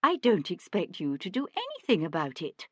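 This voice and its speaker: A woman voicing a calm young gentlewoman in an audiobook, composed and matter-of-fact. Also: on the low side, normal speed, no echo, full character voice. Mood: unruffled. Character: calm, unruffled young gentlewoman, cool daughter.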